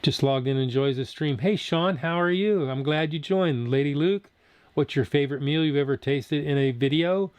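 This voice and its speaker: A man's voice: on the high side, moderate pace, smooth, no echo. A man in his sixties from Southern Ohio, chatting casually with viewers on his food channel's live stream and reading their comments aloud as he rambles. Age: 60s. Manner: rambling, chatty, answers chat as he reads.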